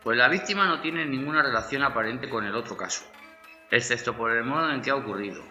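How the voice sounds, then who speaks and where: Man acting a sharp, matter-of-fact police officer. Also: mid-range voice, lively intonation, fast talker, reverberant, soft background music. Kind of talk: dramatised crime audiobook dialogue.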